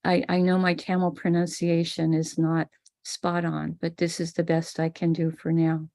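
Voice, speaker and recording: An American woman teaching a ritual on a live stream, calmly explaining each step. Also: on the low side, moderate pace, gravelly. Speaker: spiritual teacher leading a ritual. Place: home room with a small altar table.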